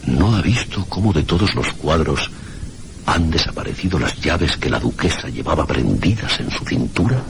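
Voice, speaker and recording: Very deep, creaky, mysterious guide. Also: male voice, lively intonation, normal speed, background noise. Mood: ominous, insistent. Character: mysterious, solemn male guide at an old inn. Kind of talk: radio drama acting.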